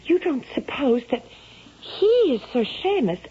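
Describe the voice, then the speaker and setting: An actress playing an earnest, curious young daughter in a dramatic 1970s radio drama style. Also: female voice, sits high, sing-song, measured pace, warm tone, breathy edge, full character voice. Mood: curious, wondering. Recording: dull, noisy vintage radio recording.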